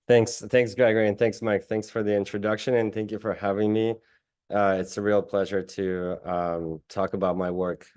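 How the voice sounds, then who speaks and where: Low-voiced man from Russia presenting his work. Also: a little mellow. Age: late 30s. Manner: thoughtful, with frequent restarts and fillers. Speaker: guest artist giving a talk.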